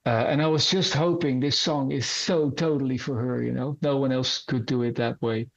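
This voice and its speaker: A man's voice: mid-range voice, moderate pace, creaky. Earnest male musician, dull lo-fi sound. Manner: earnest, animated storyteller. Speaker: musician guest. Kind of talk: casual interview answers. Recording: dull lo-fi audio.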